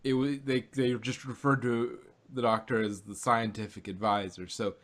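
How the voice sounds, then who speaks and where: A man's voice: mid-range voice, little pitch movement, moderate pace, fairly bright tone. A man casually chatting as a podcast co-host, hedging and hesitant, with many fillers. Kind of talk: casual chat.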